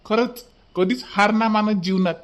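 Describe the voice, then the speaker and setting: A man's voice: high voice, steady tone, moderate pace, close to an everyday voice. A man voicing a kind, brotherly village ice-cream seller in a cartoon. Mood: friendly. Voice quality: warm-hearted, brotherly.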